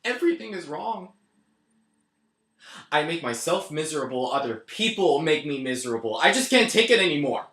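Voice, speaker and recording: A man's voice: on the low side, animated, takes it slowly, long pauses, a bit bright. An actor playing a weary, guilt-ridden married man who talks in a brooding, bitter way and breaks into anguished outbursts. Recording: echoey room.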